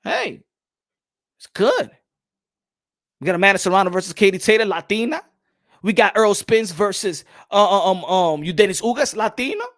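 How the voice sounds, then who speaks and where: Excitable boxing channel host, higher voice. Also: male voice, moderate pace, long pauses. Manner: animated, repeats phrases, reads chat aloud. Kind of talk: casual livestream chat.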